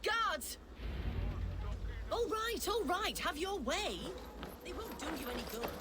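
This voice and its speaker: A woman's voice: very high voice, very animated, slow, heavy background noise, heavily acted. An actress playing a haughty, pious, defiant noblewoman in a game, imperious and theatrical. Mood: defiant, rattled. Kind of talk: video game dramatic acting.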